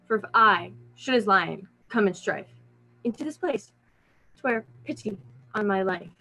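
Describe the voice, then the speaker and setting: A woman's voice: mid-range voice, animated, a little slow, gravelly, pushed and bright, full character voice, poor audio. A woman playing a timid joiner who reassures ladies while acting a lion in a Shakespeare monologue. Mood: declaring.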